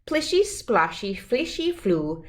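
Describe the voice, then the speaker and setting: A woman's voice: mid-range voice, sing-song, slow. A woman voicing a menacing male gar fish, a storybook villain who grumbles and growls singsong threats. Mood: menacing, hungry.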